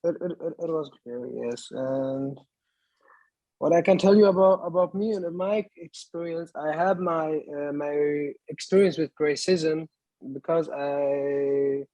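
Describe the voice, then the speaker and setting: Slow, halting young man from Lebanon. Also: a little high, warm tone, no echo, poor audio. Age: 20s. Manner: halting, repeats words, non-native English grammar. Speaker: actor. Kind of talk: panel conversation.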